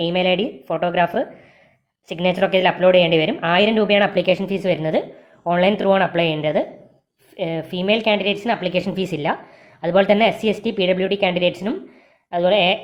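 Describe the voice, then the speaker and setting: A woman's voice: on the low side, dull audio. A woman with a Kerala Malayali accent presents an informational explainer, reading out details in a matter-of-fact way. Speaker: presenter of job vacancy videos.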